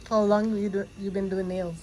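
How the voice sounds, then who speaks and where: A woman from Jamaica vlogging casually while getting a pedicure in a nail salon, relaxed and chatty, laughing often. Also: mid-range voice, normal speed, poor audio. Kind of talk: casual vlog chat.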